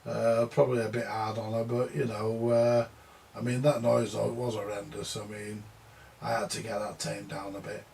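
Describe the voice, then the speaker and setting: A man's voice: fairly low pitch, level tone, moderate pace, creaky, darkish tone, a little hiss or hum. An older man who repairs vintage audio gear, rambling and grumbling in a casual monologue, repeating himself and swearing now and then.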